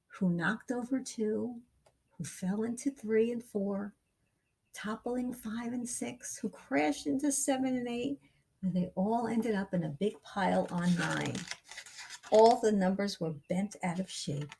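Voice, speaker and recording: A woman reading a picture book aloud as a storyteller, with expressive, deliberate and dramatic phrasing for young listeners. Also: medium pitch, creaky, a little hiss or hum, rough recording. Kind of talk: expressive children's read-aloud narration.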